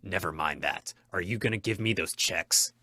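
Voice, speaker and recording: A man's voice: low voice, moderate pace, bright, forceful tone. A man acting a stern, commanding masked vigilante in an old-time radio drama, speaking in firm, menacing declarations. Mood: stern, accusing. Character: masked male crime-fighting vigilante, stern, commanding, resolute.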